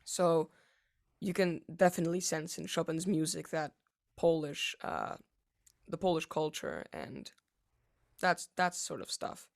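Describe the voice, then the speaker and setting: A teenage boy answering interview questions about piano, halting, with many fillers and restarts. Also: a little high, animated, creaky. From United States. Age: teens. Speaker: young pianist interviewee.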